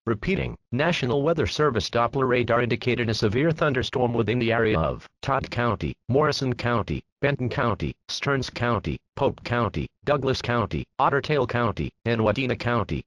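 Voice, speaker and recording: A man's voice: medium pitch, lively intonation, normal speed, hardly any pauses, dry recording, muffled recording. A man's synthetic voice making a scripted emergency warning announcement in a formal, impersonal manner.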